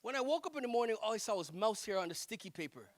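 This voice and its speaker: A young man performing a stand-up comedy set at a wedding reception on a handheld mic, telling animated stories and laughing at his own jokes. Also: high voice, rapid-fire, no echo. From Jamaica. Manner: animated storytelling with self-amused laughs.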